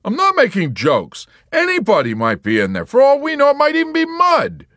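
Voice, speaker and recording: A man voicing a sarcastic young soldier in a hospital bed who needles everyone with loud, mocking questions. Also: very high voice, huge pitch swings, fairly quick, no room sound, studio quality, pitched above the performer's own voice, exaggerated voice. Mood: needling.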